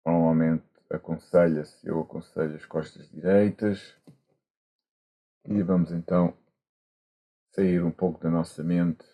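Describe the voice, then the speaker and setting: A man with a European Portuguese accent, a monk guiding a meditation gently and haltingly, with long pauses, over a video call. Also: deep voice, steady tone. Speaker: Buddhist monk, meditation teacher. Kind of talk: guided meditation instruction. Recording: muffled, dull online call audio.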